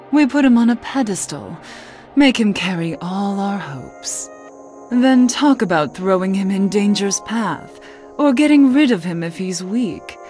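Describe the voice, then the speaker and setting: A woman playing a somber, gently wry cursed witch in a video game, measured, earnest and questioning. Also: medium pitch. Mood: rueful. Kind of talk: video game cutscene acting.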